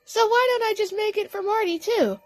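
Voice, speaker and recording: A woman's voice: very high voice, brisk, no room sound, pitched above the performer's own voice. A woman voicing an easygoing, good-natured male DJ cat in a cartoon fan story. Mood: friendly.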